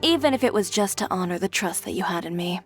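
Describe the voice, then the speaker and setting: A woman's voice: lowish voice, lively intonation, fast. A woman voicing a proud, blunt, confident young fashion designer in an English game dub, cool and self-assured. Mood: sincere, resolute. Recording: music behind the voice.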